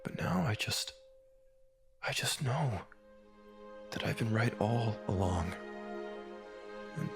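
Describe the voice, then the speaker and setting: A man's voice: on the low side, expressive, slow pace, vocal fry, pauses often, over loud music, full character voice. A man playing a weary, unsettled married newspaperman and father in a comic dub, speaking hesitantly. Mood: troubled, hesitant. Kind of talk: dramatic comic dub acting.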